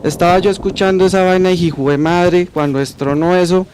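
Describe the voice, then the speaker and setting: Man acting aging Colombian storyteller, high voice, folksy, colloquial, radio drama, noisy rainy ambience. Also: steady tone, a little fast, natural voice. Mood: folksy, reminiscing.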